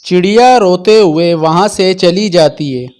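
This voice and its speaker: A man with a fairly high voice narrates a children's tale. He reads from a script as the storyteller. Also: unhurried, darkish tone.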